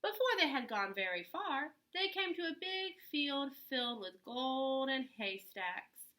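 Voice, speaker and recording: A woman reading a children's story aloud in an animated, deliberate way with dramatic emphasis. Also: high-pitched. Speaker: children's story reader, teacher-style host. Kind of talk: expressive storybook read-aloud for young children.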